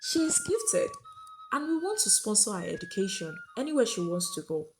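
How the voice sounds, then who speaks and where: A woman's voice: medium pitch, sing-song, normal speed, over music. A woman voicing a kindly, earnest female official from an art foundation in a folktale. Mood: earnest, admiring. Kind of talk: dramatic folktale storytelling.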